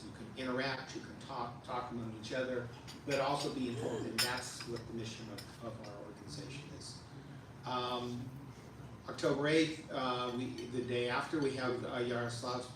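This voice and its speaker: A man casually announcing upcoming events at a luncheon, halting with fillers and restarts. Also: medium pitch. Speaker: board member presenting the program. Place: hotel banquet room at a luncheon. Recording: echoey room, speaker far from mic, noisy.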